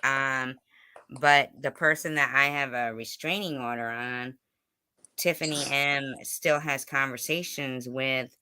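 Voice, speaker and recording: A livestream host with a bright, crisp voice at a medium pitch, chatting casually with a guest. The delivery is insistent and halting, often repeating phrases to drive a point home. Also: steady tone, no echo. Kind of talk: casual livestream interview chat.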